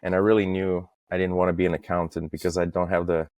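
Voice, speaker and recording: A man in his thirties from Estonia, talking as a podcast guest in a halting way, with many fillers and restarts. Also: low-pitched, flat delivery, a little mellow, no room sound, muffled recording. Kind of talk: casual interview talk.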